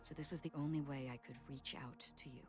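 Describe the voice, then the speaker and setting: Game role: calm, mysterious exiled swordswoman, very low pitch, formal and measured, music underneath. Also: slightly rough. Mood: calm, earnest. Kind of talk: scripted game voice acting. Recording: music behind the voice.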